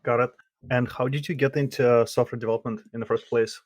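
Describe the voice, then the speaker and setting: A man's voice: medium pitch, fairly even intonation, fast talker, dry recording. A man hosting a tech podcast who asks brief, casual questions. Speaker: podcast host. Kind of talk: podcast interview.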